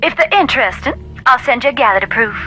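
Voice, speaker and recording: A woman's voice: high-pitched, lively intonation, fast talker, bright, forceful tone, soft background music, low-quality recording. An actress playing a wry, whimsical woman writer in a video game, with dry, playful phrasing. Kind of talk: video game character dialogue.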